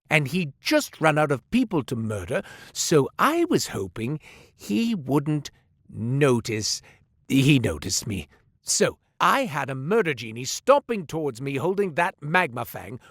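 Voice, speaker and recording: A man's voice: medium pitch, fairly bright tone, dry recording, clean studio sound. A man voicing a chatty, eccentric male merchant in a game, telling tall tales with comic asides in a theatrical manner. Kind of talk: scripted game voice lines.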